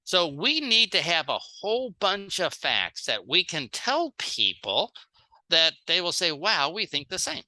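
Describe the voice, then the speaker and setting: Very bright, animated seminar speaker. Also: medium pitch, takes it slowly. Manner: plain-spoken, acts out short example dialogues. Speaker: speaker giving a sales training talk. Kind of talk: seminar talk in short phrases, paused for an interpreter.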